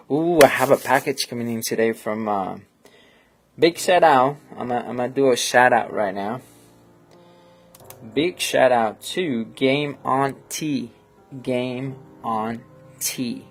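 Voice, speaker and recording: Slow gravelly Colombian-accented man. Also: mid-range voice. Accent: Colombian Spanish. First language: Spanish. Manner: rambling and friendly, many fillers, reads chat aloud. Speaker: photographer hosting a live editing stream. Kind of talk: casual live-stream chat while editing.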